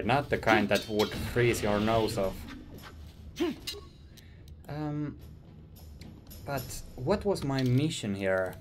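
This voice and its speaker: A young man from Finland with a Finnish accent, musing casually while playing a game for his stream viewers. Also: lowish voice. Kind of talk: casual gameplay commentary. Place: small room indoors.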